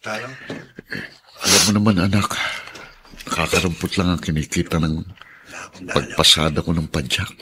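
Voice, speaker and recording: A man acting a small-time drug pusher and pedicab-driver father in a Filipino radio drama, rough-voiced and husky. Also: sits low, unhurried, pauses often, background noise. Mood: remorseful, tender.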